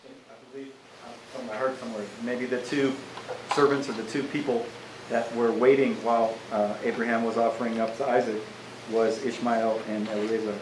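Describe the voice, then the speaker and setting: A man in a study group, speaking softly and tentatively as he adds his thoughts to the discussion. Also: medium pitch, little pitch movement, moderate pace. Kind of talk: casual discussion. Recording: echoey room with noticeable noise.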